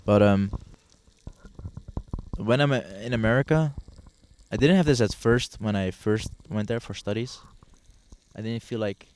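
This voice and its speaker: A young man from the Netherlands chatting casually as a podcast guest outdoors at a barbecue, relaxed and hesitant, with lots of ums and restarts. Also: fairly low pitch, measured pace, long pauses, a little hiss or hum. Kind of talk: casual chat.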